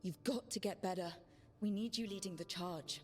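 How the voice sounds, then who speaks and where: A woman's voice: mid-range voice, normal speed, a little mellow, close to an everyday voice. A woman playing a dry-witted, determined heroine in a fantasy video game. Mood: concerned. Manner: sarcastic, earnest.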